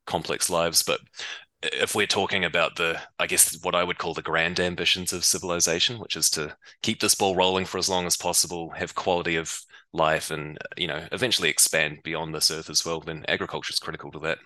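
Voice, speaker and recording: A man from New Zealand with a New Zealand accent, a startup co-founder and CEO explaining things in a relaxed way as a podcast guest. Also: sits low, a little fast, no echo. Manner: relaxed explaining, uses reckon and heaps. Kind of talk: podcast interview.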